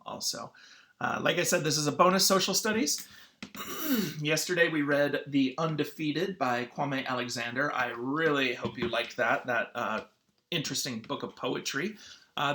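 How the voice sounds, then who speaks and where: Male teacher for a children's read-aloud lesson. Bright, forward voice at average pitch, informal and halting, with frequent throat clears. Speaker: schoolteacher.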